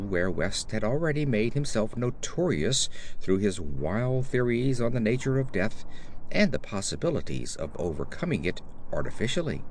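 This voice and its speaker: Expressive male horror story narrator. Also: medium pitch, a little hiss or hum. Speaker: audiobook narrator.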